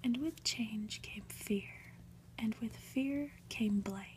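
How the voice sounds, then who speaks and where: A woman reading a storybook aloud in a deliberate ASMR whisper. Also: fairly high pitch, darkish tone, a little hiss or hum. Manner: whispering, slow, deliberate delivery with occasional asides. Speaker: ASMR reader.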